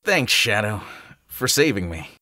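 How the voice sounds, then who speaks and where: A man's voice: lowish voice, sing-song, a little slow, bright, forceful tone, dry recording, clean studio sound, full character voice. A man voicing a cocky, joking male hedgehog hero in a fan audio drama. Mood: breezy. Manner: breezy, joking.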